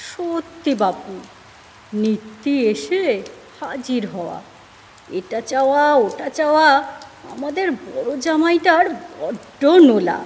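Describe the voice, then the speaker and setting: Actress as nagging Bengali housewife for audio drama; high voice, unhurried delivery, expressive and fretful. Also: female voice, big-room sound, slight background noise, exaggerated voice. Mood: grumbling.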